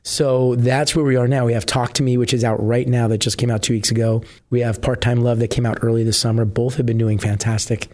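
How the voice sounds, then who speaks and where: A middle-aged man from New Jersey, a musician guest with a husky voice, chatting casually and rambling with many fillers. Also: mid-range voice, flat delivery, a little fast, few pauses, darkish tone. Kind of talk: casual interview chat.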